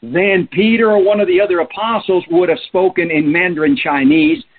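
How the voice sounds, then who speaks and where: Middle-aged guy w/ preaching, kinda husky, sounds like a phone line. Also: sits high, lively intonation, brisk. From Peru. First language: Spanish. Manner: earnest, emphatic, asks rhetorical questions. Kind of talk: sermon.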